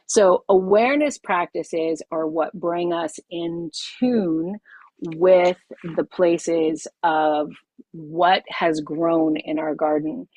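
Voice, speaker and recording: A middle-aged woman teaching a group as a yoga teacher over a video call, her voice husky and a little low. She talks with expressive emphasis and repeats her key lines. The sound is dry and close. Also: takes it slowly, dry recording, low-quality recording. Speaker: yoga teacher leading a masterclass.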